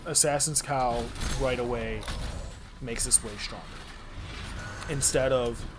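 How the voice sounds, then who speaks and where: A man's voice: mid-range voice, steady tone, slow, background noise. A young man with a cold, sniffling as he chats casually about a game build while playing. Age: young adult. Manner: rambling, self-deprecating, explains build tips. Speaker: gaming content creator. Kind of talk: casual gameplay commentary.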